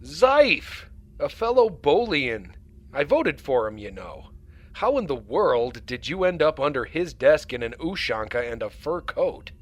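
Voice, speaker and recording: An actor playing an excitable, wry alien starship captain in an audio drama, brisk and expressive. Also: male voice, on the high side. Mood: amused curiosity.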